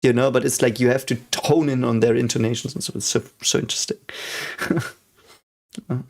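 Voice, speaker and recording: A man's voice: medium pitch, fairly even intonation, brisk, creaky, a little mellow. A man in his thirties with a German accent, chatting casually on a live stream and answering chat, rambling with many fillers and restarts.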